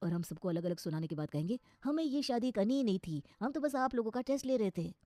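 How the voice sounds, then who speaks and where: Woman as a fast-talking, blunt young son. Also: medium pitch, lively intonation, dark tone, dry recording, clean studio sound, close to an everyday voice. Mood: exasperated. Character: outspoken, exasperated young son of the family. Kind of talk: cartoon moral story voice.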